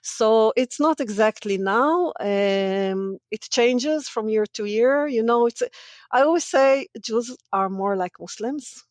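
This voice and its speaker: A woman's voice: fairly high pitch, takes it slowly, darkish tone, no room sound. Israeli-born woman, dry close voice. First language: Hebrew. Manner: thoughtful, laughs often between points, with hesitant restarts. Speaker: podcast guest, senior lecturer in religious studies. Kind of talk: casual interview talk.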